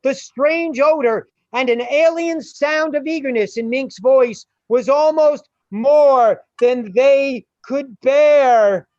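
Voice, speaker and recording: A woman with a high voice narrates a radio drama. She reads slowly, in short deliberate phrases. The sound is dry and somewhat dull. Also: darkish tone, no room sound. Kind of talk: scripted radio play narration.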